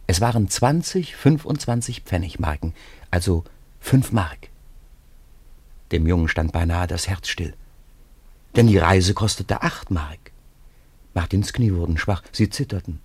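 Low husky guy narrating a radio drama story, very dry sound. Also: a little mellow, no room sound.

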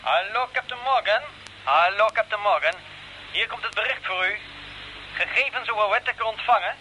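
A man acting a formal mission control operator in a radio drama, reading official messages deliberately through a radio filter. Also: sits high, bright, forceful tone, low-quality recording. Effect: radio transmission filter. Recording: thin radio link with hiss.

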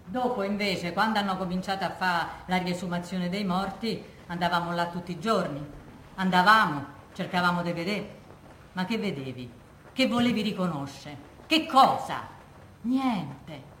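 An actress playing a haunted working-class widow with a Roman accent, colloquial and emphatic in a theatrical testimony. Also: female voice, fairly low pitch, normal speed, fairly bright tone, close to an everyday voice. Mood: bitter, agitated. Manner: colloquial, emphatic, rhetorical questions. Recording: echoey room with background noise.

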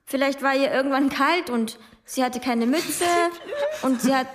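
Brief: dreamy quirky student, high and airy. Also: female voice, lively intonation, a little slow, background noise. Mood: musing. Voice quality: airy, musing, offbeat. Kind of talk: radio drama acting.

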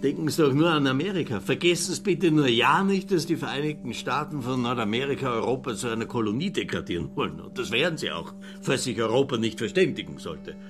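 Radio play role: male actor as pompous, sentimental older Austrian intellectual, slightly drunk, medium pitch, clear music underneath. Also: animated, fast, few pauses, lightly acted. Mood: animated, tipsy. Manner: pompous, declamatory, tipsy. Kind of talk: radio drama dialogue.

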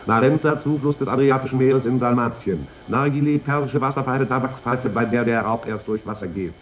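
A man's voice: medium pitch, brisk, close to an everyday voice. An actor playing a man drily reading out dictionary entries within a radio drama, on a dull old recording. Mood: dry. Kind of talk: reading reference entries aloud.

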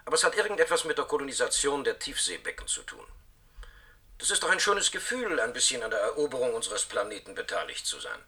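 An actor playing an evasive, officious corporate clerk in a science-fiction radio play, brisk and bureaucratic. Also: male voice, mid-range voice, sing-song, pushed and bright, heavily acted.

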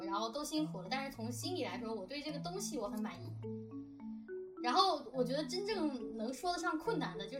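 A woman chatting casually and animatedly about her work, rambling a little in a self-deprecating way. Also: sits high. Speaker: radio drama director and discussion host. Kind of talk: casual chat about making audio dramas. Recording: slightly distant mic, music behind. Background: music.

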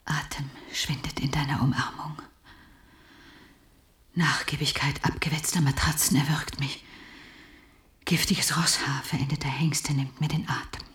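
A woman's voice: sits low, flat delivery, unhurried, pauses often, fairly bright tone. A whispering, broken woman. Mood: haunted. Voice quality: whispery, breathy. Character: broken, tormented woman reciting dark surreal verse. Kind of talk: German radio drama acting.

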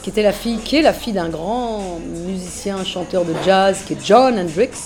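A middle-aged woman musician chatting casually in an interview, relaxed and full of fillers. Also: mid-range voice, normal speed, soft background music. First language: French. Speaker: musician guest.

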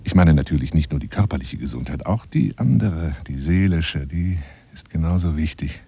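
A man's voice: normal speed, mellow, heavily acted. An actor playing a lonely, boastful middle-aged bachelor adventurer wooing a woman by phone in a radio drama, with flowery, insinuating talk that drops into near-whispers. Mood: eager, courting. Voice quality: deep, gravelly. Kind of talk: radio drama monologue, one side of a phone call.

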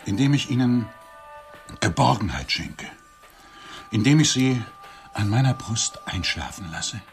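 A man's voice: on the low side, expressive, a little slow, faint music behind. An actor playing a lonely, grandiloquent middle-aged businessman wooing on a blind date in a radio drama, with florid, theatrical phrasing. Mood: courting, earnest.

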